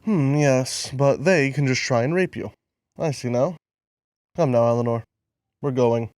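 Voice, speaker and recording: A man's voice: mid-range voice, a little slow, a little mellow, dry recording, clean studio sound, natural voice. A man playing a cold, menacing ruler and father in an audio drama, speaking in a blunt, formal way. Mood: sarcastic, stern.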